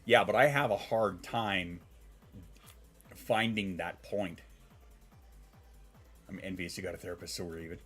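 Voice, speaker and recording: A man's voice: low voice, a little slow, long pauses, a bit bright, faint music behind. A man in his thirties, congested and sniffling, chatting casually on a live stream, rambling, swearing and reacting to chat. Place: home.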